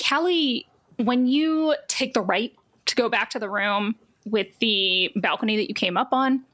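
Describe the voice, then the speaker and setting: A woman with a fairly high voice acts as game master for a tabletop roleplaying game. She tells the story in a loose, conversational way, as if chatting with the players. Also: fairly bright tone.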